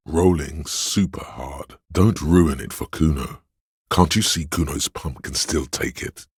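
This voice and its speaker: A sleazy, thrill-hungry inner voice from a video game. It is bottomlessly deep, thick with gravel and very husky. The delivery is theatrical and insinuating, relishing each word like a hedonist craving the next indulgence. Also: male voice, no echo, clean studio sound, exaggerated voice. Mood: seedy, coaxing. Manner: insinuating, theatrical, savoring words. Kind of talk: video game character voice lines.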